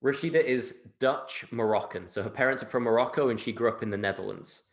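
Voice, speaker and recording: A man's voice: mid-range voice, brisk. A man from England with a British accent, speaking emphatically as a guest in an interview, repeating phrases and often checking in with a tag question. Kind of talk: conversational interview talk.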